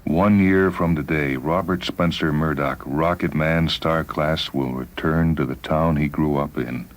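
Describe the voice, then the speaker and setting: Very deep-voiced, resolute dying spaceman. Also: steady tone, measured pace. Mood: stubborn resolve. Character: dying but determined spaceman, a man from Ohio. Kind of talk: radio drama acting.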